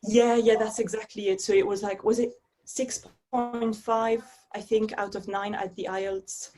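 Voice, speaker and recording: A woman's voice: mid-range voice, level tone, unhurried, mellow. A young woman from Belgium, a native French speaker, talking about her dance training in a friendly, thoughtful interview. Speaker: dance artist guest. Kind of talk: casual interview chat.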